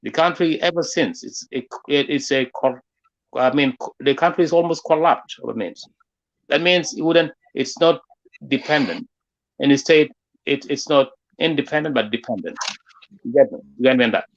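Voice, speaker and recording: A man's voice: medium pitch, moderate pace, highs cut off. A man from South Sudan with a South Sudanese accent, hosting an unscripted political discussion in a discursive way, often saying "you know". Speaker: interviewer, forum host.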